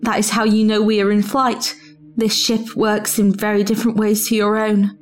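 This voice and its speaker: A woman's voice: medium pitch, little pitch movement, normal speed, natural voice. A woman acting a principled, disillusioned female travelling companion in an audio drama. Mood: subdued.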